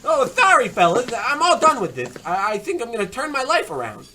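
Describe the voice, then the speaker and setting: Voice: mid-range voice, sing-song, fairly quick, pushed and bright, a little hiss or hum. An actor playing a swaggering, romantic tough-guy diner chef with hammy wise-guy bluster in an old-time radio comedy style. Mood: earnest.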